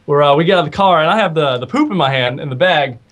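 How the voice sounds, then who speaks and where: A man's voice: a little high, racing, a bit bright. An American man in a rock band, chatting and telling stories casually in an interview. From Jacksonville, United States. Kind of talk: casual chat, storytelling.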